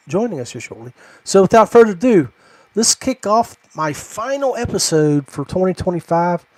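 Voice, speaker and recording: Higher-pitched American man hosting casually. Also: darkish tone, no echo. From United States, West Virginia. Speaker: podcast host. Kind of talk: casual live podcast hosting.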